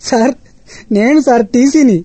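A man's voice: very high-pitched, normal speed, mellow, no room sound. A man as an exasperated train ticket collector. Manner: exasperated, pleading, formal address.

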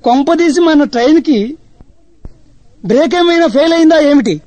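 An actor playing a gentle, forgetful old man who speaks slowly and mildly, always bewildered. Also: very high voice, no room sound. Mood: muddled. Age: older. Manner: slow, mild, bewildered.

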